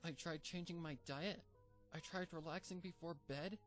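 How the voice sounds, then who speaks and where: A man's voice: fairly even intonation, moderate pace, a bit bright, faint music behind, natural voice. A man reading a fanfic aloud, voicing a frantic, sleepless young female pony in a pleading, rising voice. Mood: desperate. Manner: high, pleading, breaking into despair.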